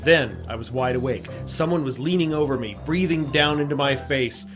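A hard-boiled adventurer from an old radio drama, acted by a man. He has a medium-pitched voice and talks in short, clipped, deadpan phrases, wry and terse in the noir storytelling manner. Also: moderate pace, over music, close to an everyday voice. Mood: deadpan narration. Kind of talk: old-time radio noir acting and first-person narration.

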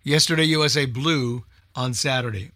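A man's voice: mid-range voice, normal speed, sharp tone. A man presenting a radio show in casual group chat, thinking aloud in a hesitant way.

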